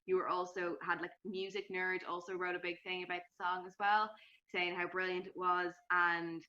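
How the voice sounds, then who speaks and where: A woman's voice: lowish voice, little pitch movement, moderate pace, pushed and bright, dull audio. Chatty woman interviewer. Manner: enthusiastic, chatty, many fillers. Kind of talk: casual interview chat.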